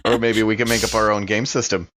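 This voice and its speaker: A man trades casual banter as a player on a tabletop game podcast. His voice is pitched a bit lower than average. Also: flat delivery, fast talker, a bit bright.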